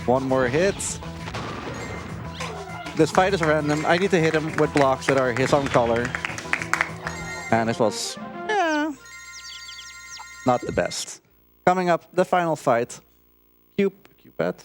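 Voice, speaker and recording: A man's voice: on the high side, sing-song, slow pace, pauses often. A man giving casual live commentary as a speedrunner playing a game. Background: game music.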